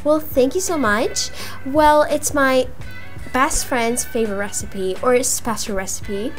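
A young woman acting out improvised roleplay dialogue for a video game, in an animated manner with drawn-out words. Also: sits high. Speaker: gaming video creator voicing roleplay characters. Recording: music behind the voice. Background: music.

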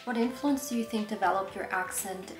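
A woman's voice: medium pitch, brisk. 20s woman vlogger, german accent w some american in it, casual q&a chat, echoey room w music behind. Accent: German with some American influence. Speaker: vlogger answering viewer questions. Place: small bare indoor room. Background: background music.

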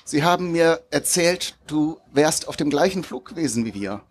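A mysterious Russian man played by an actor with a husky voice and very animated intonation. He is a teasing old friend in a live audio drama, read on stage. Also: fairly high pitch, normal speed. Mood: warm, eager.

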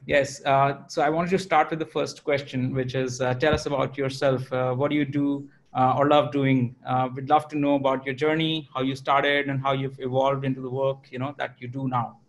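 A man interviewing an artist over a video call from an indoor room, asking questions with many uhs. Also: medium pitch. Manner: filler-heavy, even questioning.

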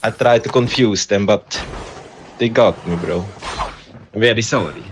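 A man's voice: fairly low pitch, a little slow. Man joking in noisy game voice chat. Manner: jokey put-on Italian accent, calls others brother. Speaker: player in online match.

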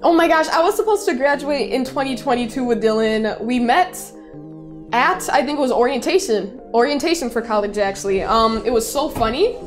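Bubbly high-voiced young woman streamer. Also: soft background music. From Chicago area, US. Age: 20s. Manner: bubbly, effusive, quick to squeal with excitement. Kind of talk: casual live-stream chat reading messages. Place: bedroom streaming setup, indoors.

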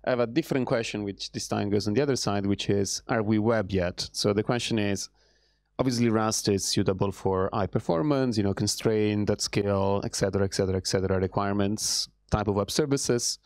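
A young man moderating a panel in a large hall, putting questions in a measured way with asides, into a handheld mic. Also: on the low side, fairly even intonation, darkish tone, studio quality.

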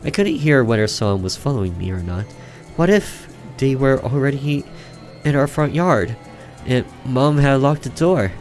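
A man's voice: medium pitch, expressive, normal speed, a little mellow. A man reading a visual novel aloud as a gaming host, dramatic and theatrical, sometimes stumbling and rereading. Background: game music.

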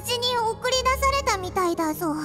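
Chirpy fairy companion, high-pitched, boyish blunt talk, game score behind. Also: female voice, lively intonation, brisk. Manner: blunt boyish sentence endings. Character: tiny chirpy fairy companion, brash and excitable. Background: game music.

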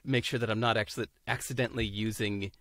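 A man's voice: on the low side, steady tone, quick pace, a bit bright, no echo, clean studio sound. A man in his fifties from the United States, a guest chatting on a video call, thoughtful, searching for words and laughing easily. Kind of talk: casual interview chat. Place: home room, indoors.

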